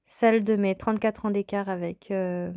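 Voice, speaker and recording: A woman in her thirties, from France, hosting her own podcast and telling a personal story in a calm, reflective way. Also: medium pitch, moderate pace, smooth, no echo, clean studio sound. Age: 30s. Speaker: podcast host.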